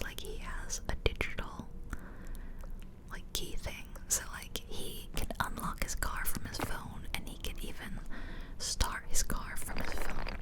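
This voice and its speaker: A woman speaks entirely in a soft, breathy whisper, right up close to a stereo microphone. Her delivery is gentle and chatty, rambling along in a casual ASMR storytelling style. The voice stays unvoiced and intimate throughout. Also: poor audio. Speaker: ASMR video creator and gamer. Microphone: close stereo ear-to-ear microphone.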